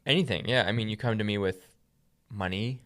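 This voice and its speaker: A man's voice: on the low side, a little fast, fairly bright tone. A man speaking as a podcast host, teaching the Bible in a conversational way and often checking in with "right?".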